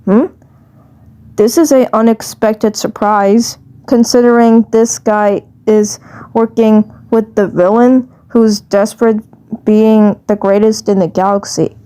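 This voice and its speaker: A woman's voice: mid-range voice, steady tone, slow pace, mellow, clear, resonant tone, close to an everyday voice. A woman voicing a sceptical, unimpressed cartoon boy with a dry, doubtful delivery in an amateur fandub.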